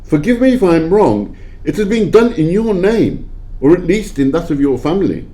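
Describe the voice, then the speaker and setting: A man's voice: on the high side, sing-song, moderate pace, mellow. A man playing an anxious miser who earnestly questions a spirit in an audio play. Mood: questioning.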